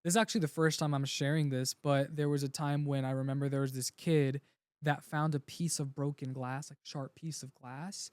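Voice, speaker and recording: A man's voice: medium pitch, little pitch movement, moderate pace, a little mellow, clear, resonant tone, dry recording. A young man from Puerto Rico, a native Spanish speaker, talking earnestly and from the heart as an interview guest, often restarting his sentences. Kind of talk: casual interview conversation.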